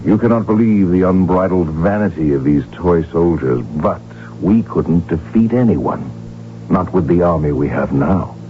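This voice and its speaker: An actor in a radio drama playing a proud, stubborn but affectionate archduke, speaking in a measured, formal, aristocratic manner. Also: male voice, low-pitched. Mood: scornful, firm. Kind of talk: 1970s radio drama acting. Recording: dull, noisy old radio broadcast recording.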